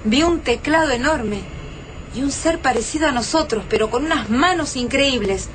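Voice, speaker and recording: A woman's voice: high-pitched, lively intonation, normal speed, fairly bright tone, a little hiss or hum. An actress with an Argentine accent playing an alluring young woman survivor in a sci-fi radio drama. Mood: earnest, urgent.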